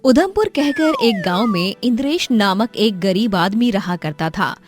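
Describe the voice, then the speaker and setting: A woman with a medium-pitched voice narrates a scripted moral tale. She tells it as a storyteller for a children's cartoon. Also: lively intonation, measured pace.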